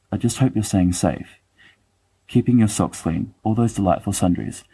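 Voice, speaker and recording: Male reader as awkward young letter-writer: deep, mellow, dry and self-deprecating, rambling fondly in a dramatised reading. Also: slightly rough, low-quality recording. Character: young man writing an awkward, fond, wry letter.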